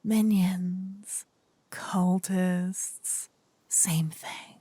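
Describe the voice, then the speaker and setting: A woman's voice: on the low side, steady tone, dark tone, dry recording, full character voice. A woman voicing a seductive, possessive demon queen in an intimate fantasy ASMR roleplay, often whispering, with teasing, smug menace. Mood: teasing, condescending. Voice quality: breathy, husky, often whispering. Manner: slow, teasing, doting menace. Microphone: close, dry microphone.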